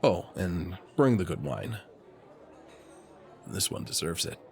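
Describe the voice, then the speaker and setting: A man playing a suave, teasing, flirtatious military officer and alchemist in an intimate roleplay audio, speaking smoothly. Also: deep voice, expressive, background noise, exaggerated voice. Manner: smooth, unhurried, playfully teasing.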